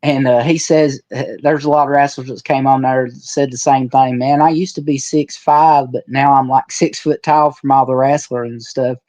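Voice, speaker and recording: A man's voice: mid-range voice, flat delivery, moderate pace, hardly any pauses, darkish tone, no room sound. A man in his forties chatting casually and telling stories in a folksy, colloquial way as a retired wrestler on a podcast. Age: 40s. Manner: folksy storyteller with colloquial rural phrasing. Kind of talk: casual reminiscing chat.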